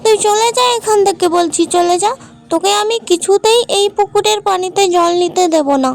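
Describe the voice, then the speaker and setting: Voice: extremely high pitch, fairly even intonation, normal speed, creaky, soft background music. A performer voicing a quarrelsome, bossy village girl in a cartoon, scolding and emphatic. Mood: scolding, haughty. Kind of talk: cartoon voice acting, dramatic dialogue.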